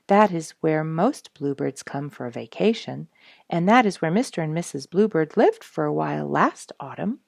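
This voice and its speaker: A woman voicing a wise, thoughtful male grouse in a storybook, giving helpful directions in a measured manner. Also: low-pitched, animated, vocal fry, darkish tone, no room sound, clean studio sound, full character voice. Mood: calm, helpful.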